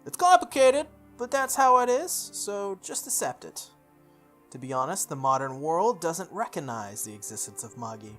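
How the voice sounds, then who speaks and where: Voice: mid-range voice, very animated, moderate pace, a bit bright. Casual game streamer reading aloud over music. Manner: informal, slips into offhand asides. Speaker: video game streamer doing a playthrough. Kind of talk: reading visual novel text aloud with casual side comments.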